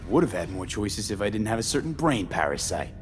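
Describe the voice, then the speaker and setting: A man's voice: lowish voice, very animated, fairly quick, faint music behind. A man playing a blunt, guarded mercenary in a video game, talking in terse, clipped lines. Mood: blunt, insistent. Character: blunt, guarded male mercenary searching for a missing person. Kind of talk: scripted video game acting. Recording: faint ambient noise.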